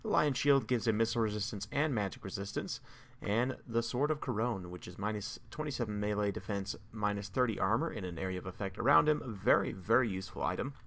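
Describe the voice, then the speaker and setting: A man with a slightly hoarse, strained voice casually commentating a strategy game battle, talking steadily with frequent "uh" and restarts. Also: fairly low pitch, animated, fast talker, fairly bright tone. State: slightly hoarse, losing his voice.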